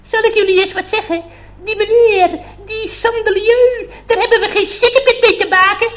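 A man's voice: extremely high pitch, steady tone, moderate pace, a bit bright, highs cut off. A man playing an impudent, ancient female witch who mocks and cackles brashly in a radio play. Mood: mocking, brash.

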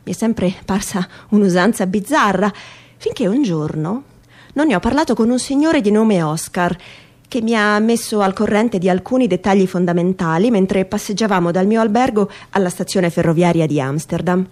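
A woman performing a theatrical reading of a humorous first-person essay in a wry, mock-earnest and expressive manner. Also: medium pitch, moderate pace.

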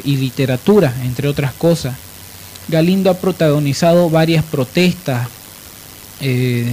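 A man's voice: mid-range voice, darkish tone, a little hiss or hum, rough recording. A man with a Bolivian accent hosting a radio show, explaining things emphatically and with opinion. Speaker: radio host. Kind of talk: radio discussion and biographical narration.

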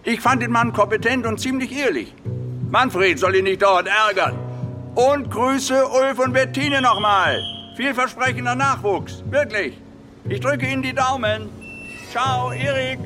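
A man's voice: very high-pitched, measured pace, over music. An actor playing a sardonic, world-weary male crime writer in a German radio drama, talking in an ironic, musing way. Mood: friendly, frank.

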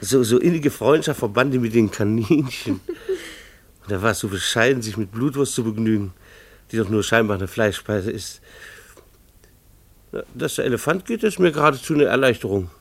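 Beleaguered, earnest schoolmaster, radio play lead and narrator. Animated melody with a creaky edge, wry and a little hesitant. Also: male voice, mid-range voice, normal speed. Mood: wistful. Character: beleaguered, mild-mannered schoolteacher husband, fond of animals. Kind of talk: German radio play acting with first-person narration.

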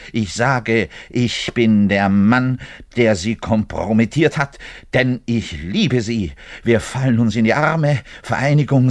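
An actor playing a lovelorn, self-important, bitter double bass player in a theatrical radio play monologue, confiding in the listener and swinging between hushed and declaimed delivery. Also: male voice, exaggerated voice. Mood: triumphant fantasy.

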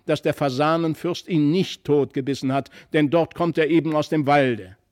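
A man voicing a stern, middle-aged noble father in a storybook reading, measured and scolding. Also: fairly high pitch, a little mellow, lightly acted. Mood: angry. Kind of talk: audiobook character voice.

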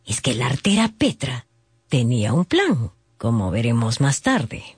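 A woman's voice: a bass voice, sing-song, unhurried, a little mellow, husky, no echo, clean studio sound. A woman narrating a dramatised story, with wry, knowing asides to the listeners. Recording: faint music behind the voice.

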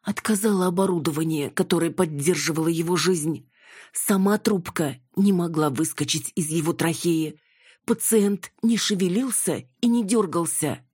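A woman's voice: fairly low pitch, moderate pace, darkish tone, slightly rough, dry recording, clean studio sound, voice pushed up. A woman voicing an officious, gossipy, emphatic hospital nurse in an audiobook. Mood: grave, defensive. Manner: scolding then lamenting, emphatic.

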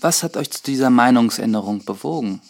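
An earnest, courteous young priest. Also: male voice, medium pitch, expressive, brisk, gravelly. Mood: inquiring. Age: 20s. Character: earnest, courteous 23-year-old priest, curious and easily flustered. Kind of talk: radio drama acting. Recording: studio drama with reverb and music.

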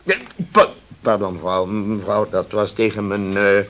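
A man's voice: lowish voice, level tone, a little slow, a bit bright. A man acting in a radio play as a grumpy, domineering shipowner who is blustering and curt, outwardly polite when he must be. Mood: forced politeness.

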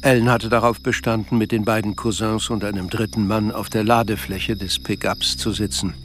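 A man's voice: lowish voice, little pitch movement, moderate pace, faint music behind, natural voice. A man reading scripted literary narration as the sober storyteller of a radio play. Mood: sober, measured.

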